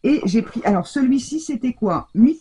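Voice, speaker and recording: A woman's voice: on the high side, expressive, moderate pace, noisy background. A woman from France chatting casually and rambling with many fillers as she shows her craft purchases in a haul video. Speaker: craft vlogger.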